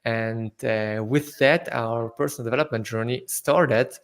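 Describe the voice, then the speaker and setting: A young man from South Tyrol whose first language is German, speaking English with a German accent as an interview guest, halting with fillers and restarts. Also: medium pitch. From northern Italy, South Tyrol. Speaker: guest entrepreneur. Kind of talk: casual interview answers.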